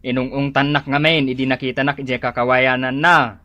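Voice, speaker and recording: Man playing a fretful, sharp-voiced Ilocano husband. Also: medium pitch, level tone, moderate pace, no echo, dull audio, natural voice. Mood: anxious. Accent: Filipino, Ilocano. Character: fretful, fearful husband fussing over his sore throat. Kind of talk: radio drama acting.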